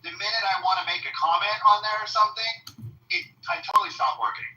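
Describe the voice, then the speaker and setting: A man with a high, nasal voice chatting casually, rambling and repeating words as he talks. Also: sing-song, quick pace, pushed and bright, muffled recording. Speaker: listener in a live audio room. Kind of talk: casual chat. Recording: roomy distant phone audio with faint noise.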